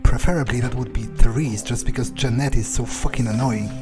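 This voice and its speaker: A man's voice: mid-range voice, fairly even intonation, a little fast. A man giving in-character commentary on a video game, thinking aloud in a blunt, muttering, profane way. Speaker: gamer playing in character. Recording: voice over game background music. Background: music.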